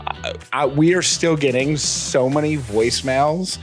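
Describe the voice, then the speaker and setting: A man's voice: a little high, a little slow. Man co-hosting a casual podcast, music behind. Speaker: podcast co-host. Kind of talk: casual chat.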